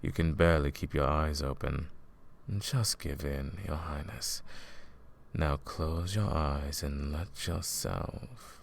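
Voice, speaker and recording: A man playing a smug, scheming royal advisor and sorcerer in a fantasy audio roleplay, silky and condescending, with a gravelly voice. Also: very deep voice, steady tone, full character voice. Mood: hypnotic, gloating. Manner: silky, condescending, theatrical, drawn-out menacing phrasing. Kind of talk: fantasy audio roleplay, intimate villain monologue.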